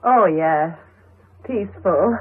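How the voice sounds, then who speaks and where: An actress playing a timid young housemaid who talks haltingly in a radio drama. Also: female voice, fairly low pitch, lively intonation, breathy edge, exaggerated voice. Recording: narrow-band old radio broadcast.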